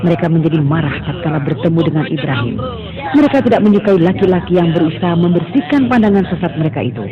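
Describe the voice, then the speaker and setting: A man's voice: fairly high pitch, lively intonation, fast talker, dull audio, crowd noise. Solemn male cartoon narrator. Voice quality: solemn, grave. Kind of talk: scripted narration.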